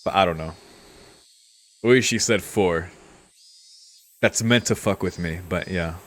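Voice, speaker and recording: A man with a low-pitched voice works through a story game as a let's player. He reads narration aloud and muses on it casually, in a laid-back way. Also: a little slow, fairly bright tone. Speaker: let's-play streamer. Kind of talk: reading game text aloud with casual commentary.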